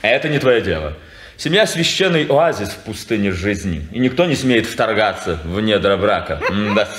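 A pompous, mocking idle clerk. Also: male voice, fairly low pitch, expressive, normal speed, a bit bright. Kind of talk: 1950s radio play acting. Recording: echoey old radio studio.

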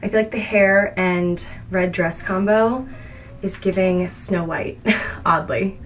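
Chatty young woman vlogger, lowish voice. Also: slow pace, soft background music, poor audio. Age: young adult. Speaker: lifestyle vlogger. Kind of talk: casual chatty vlog talk. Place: indoors at home and in a house.

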